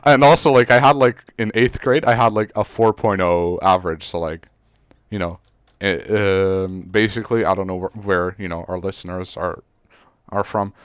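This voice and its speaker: A man's voice: low voice, steady tone, normal speed, dry recording, clean studio sound. A Serbian teenage boy, a native Serbian speaker, co-hosting a podcast in casual chat, rambling with many fillers and restarts. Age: teens. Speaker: podcast co-host.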